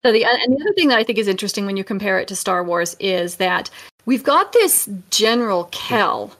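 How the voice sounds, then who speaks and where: A woman's voice: medium pitch, expressive, fairly quick, a bit bright. A woman co-hosting a podcast, thinking aloud as she casually analyses and compares stories. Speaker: podcast co-host and author. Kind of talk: casual film discussion.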